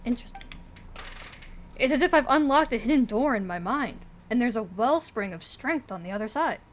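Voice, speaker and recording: A woman's voice: fairly high pitch, lively intonation, normal speed. A woman doing casual livestream commentary on a game, with sarcastic asides and swearing, sometimes reading dialogue aloud. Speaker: game streamer. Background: video game music.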